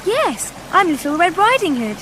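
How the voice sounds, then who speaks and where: A woman's voice: extremely high pitch, very animated, normal speed, noisy background. An actress playing a sweet, naive fairy-tale girl in a comic radio play, prim and storybook-polite. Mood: gushing, sweet.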